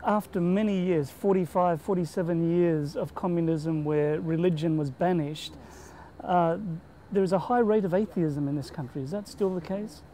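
Man in his 50s, interviewer; mellow voice, friendly affirmations, lapel mic outdoors. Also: fairly high pitch, normal speed, a little hiss or hum, low-quality recording. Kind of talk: interview questions. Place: outdoor rooftop terrace over a harbor.

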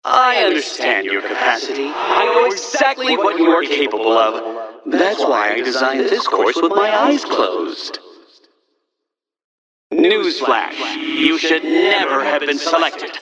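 Game villain: egomaniac male riddle-master, highish and swooping, pompous and mocking, roomy echo. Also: very animated, unhurried, recorded from a distance, a crowd in the background. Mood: smug, condescending. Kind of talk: video game villain voice acting.

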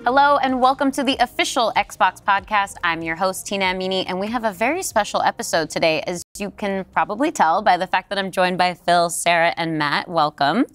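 A woman's voice: medium pitch, a little fast, hardly any pauses, fairly bright tone, faint music behind. A woman hosting a podcast, upbeat and inquisitive as she puts questions to her guests. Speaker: podcast host. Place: studio set.

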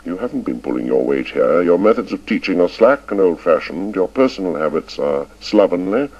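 A man's voice: fairly low pitch, voice pushed up. A man voicing a cold, stern middle-aged headmaster who speaks in a clipped, formal way. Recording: dull lo-fi recording.

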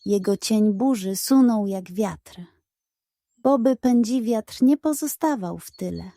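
A woman narrating a scripted children's bedtime story in an expressive read-aloud style. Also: medium pitch, slow pace, dry recording, studio quality.

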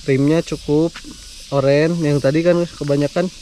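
A man's voice: fairly high pitch, steady tone, normal speed, mellow, slight background noise. Chatty young Sundanese man vlogging outdoors. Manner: chatty, slangy, addresses viewers as guys, laughs often. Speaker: fishing vlogger. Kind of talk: casual vlog narration. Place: outdoors by a pond in rural greenery.

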